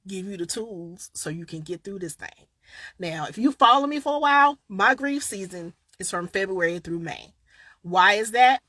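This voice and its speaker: Southern woman grief coach live-streaming, bright and dry, loud bursts then soft asides, a bit tired. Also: on the low side, no echo. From Southern United States. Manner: chatty and expressive, swinging from loud bursts to quiet asides. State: a little tired. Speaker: grief coach and podcast host. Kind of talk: casual live-stream chat answering viewers.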